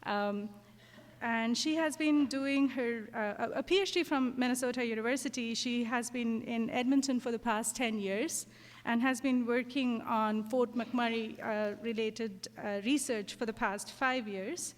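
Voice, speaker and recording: A woman's voice: on the high side, fairly even intonation, a little slow. Hesitant woman facilitator in a hall. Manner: hesitant, many fillers. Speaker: session facilitator. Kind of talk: conference introduction. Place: lecture hall.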